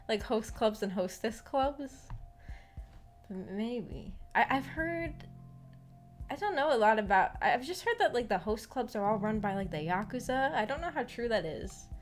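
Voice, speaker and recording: Giggly, higher-voiced woman chatting on a livestream. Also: big pitch swings, moderate pace. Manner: giggly, hesitant and rambling with repeated words. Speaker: VTuber streamer hosting a visual novel book club. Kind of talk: casual livestream chat. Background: background music.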